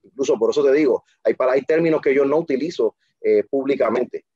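A confident man tells his stories emphatically, stumbling and restarting as he goes. His voice is dark and husky. The sound is dry and dull. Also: medium pitch, fast, no room sound. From Dominican Republic. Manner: emphatic, self-assured, full of restarts. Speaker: interview guest. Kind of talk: casual interview storytelling.

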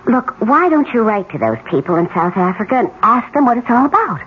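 An actress playing an exasperated, eager housewife who longs for more, fretful and emphatic, in a 1970s radio drama. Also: female voice, a little high, sing-song, fairly quick. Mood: curious, urgent. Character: exasperated, eager housewife yearning for a better life. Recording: tinny old radio broadcast, faint noise.

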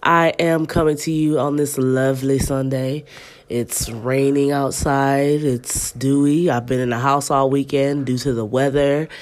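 A woman's voice: rumbling low, fairly even intonation, measured pace, a little mellow. A woman in her thirties hosting a podcast from home, chatting loosely with listeners and reading aloud, her voice stuffy and congested. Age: 30s. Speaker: podcast host. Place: at home in an apartment.

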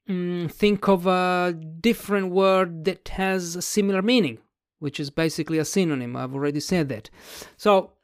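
Writing vlogger, mid pitch, dry close sound, musing and word-searching. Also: no room sound. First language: Italian. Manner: thinks aloud, searching for words. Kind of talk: casual explanatory talk about a book.

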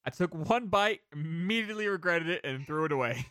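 A man with a fairly high voice chats as a podcast co-host in a very dry recording with hardly any room sound. He tells anecdotes in a rambling, animated way and drops casual swear words. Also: fairly quick, no room sound.

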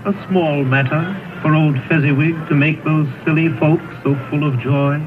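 A man's voice: on the high side, fairly even intonation, moderate pace, phone-quality sound, noisy background, lightly acted. A man playing a stern, solemn spirit guide in an old-time radio drama, declaiming and asking probing questions. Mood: challenging. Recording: old radio broadcast, thin band-limited, music behind.

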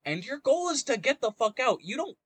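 Man, highly animated pitch, slightly high voice, dry close sound, emphatic, argumentative casual chat. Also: a little fast, slightly rough, no echo. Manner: emphatic, contrarian, swears. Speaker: podcast co-host.

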